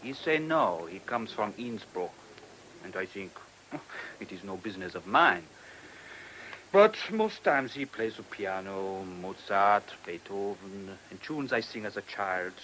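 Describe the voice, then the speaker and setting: An actor playing an exasperated middle-aged German proprietor, speaking emphatic broken English with a German accent in a radio play. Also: male voice, lowish voice, animated, unhurried, fairly bright tone, rough recording. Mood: nostalgic, talkative. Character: exasperated German hotel proprietor, former prisoner of war. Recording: hissy old radio drama recording.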